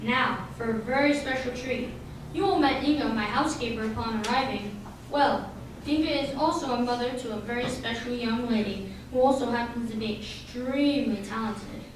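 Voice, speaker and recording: A woman's voice: fairly high pitch, normal speed, natural voice. A woman playing a formal, gracious man with a barbed tongue, in a theatrical radio drama style. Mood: grand announcing. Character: Peter Edwards, successful former schoolboy, gracious reunion host with barbed tongue. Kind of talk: stage radio drama acting. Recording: very echoey hall, distant mic, clear noise.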